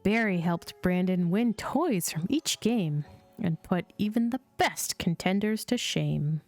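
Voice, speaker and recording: A woman narrates a children's bedtime story at an unhurried pace. Her pitch moves in a lively, sing-song way as she reads the lines aloud. Soft music plays behind her. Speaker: host reading children's bedtime stories. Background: chill music.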